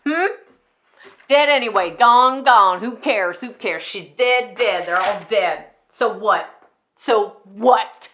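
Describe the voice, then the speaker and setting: A woman's voice: medium pitch, a bit bright, full character voice. Woman acting a volatile, raging narcissistic megachurch boss. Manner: ranting, mocking, swinging from scorn to outburst. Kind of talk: dramatic solo monologue acting.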